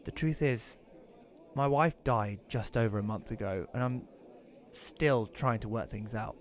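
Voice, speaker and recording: A man's voice: medium pitch, a little slow, close to an everyday voice. A man playing an awkward, apologetic widower telling his story in an audio drama, with a hesitant, self-conscious manner. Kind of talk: first-person audio drama narration and dialogue.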